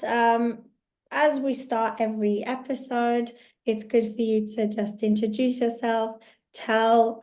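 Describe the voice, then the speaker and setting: A woman's voice: a little high, darkish tone. A woman hosting a podcast interview in a casual chat style, her voice still worn from a recent illness, friendly and rambling with restarts. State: recovering voice after illness. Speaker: podcast host.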